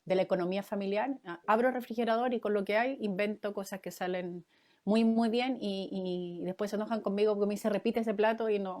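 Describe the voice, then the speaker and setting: A woman in her fifties from Chile, a guest answering interview questions in a thoughtful way, with frequent pauses and fillers. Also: medium pitch, fairly even intonation, a little mellow. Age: 50s. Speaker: guest, former mayoral candidate and coach.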